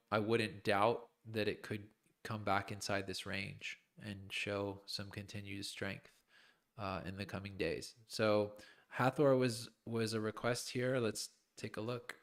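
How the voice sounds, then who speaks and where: A man with a fairly low voice gives live trading commentary as he works. His voice is a little worn, as if he is losing it, and he talks informally, piecing his thoughts together as he goes. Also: flat delivery, a little slow, creaky, a bit bright. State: losing his voice, clearing his throat. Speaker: crypto trading streamer. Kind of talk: live chart analysis commentary, thinking aloud.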